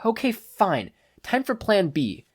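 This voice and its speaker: An excitable teenage hero student acted out by an audiobook reader. The voice is pitched up and swings widely, with an exasperated edge. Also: slow, slightly rough, no room sound, studio quality, exaggerated voice. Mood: panicked, exasperated.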